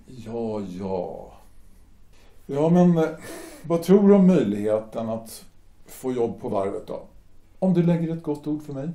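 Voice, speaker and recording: A blunt, questioning sailor back home, played by a man in an audio drama. His voice is mellow, and his pitch moves in a lively way. The room has a strong echo. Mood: sheepish. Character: blunt male sailor back home, left-leaning brother. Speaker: returning sailor, brother. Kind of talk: amateur audio drama acting. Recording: echoey room.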